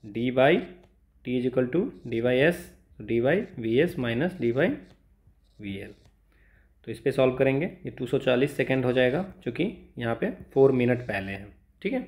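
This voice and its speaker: An Indian man teaching exam problems step by step in a halting tutorial style, mixing Hindi and English and often adding small check-in tags. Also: medium pitch, expressive, a little mellow.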